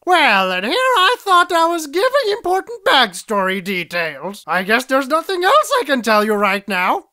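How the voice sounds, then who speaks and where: A woman's voice: high-pitched, big pitch swings, normal speed, hardly any pauses, bright, forceful tone, heavily acted. A woman playing a brash, hot-tempered teenage magical-girl heroine in an anime parody dub, bombastic and mock-heroic. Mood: cheeky.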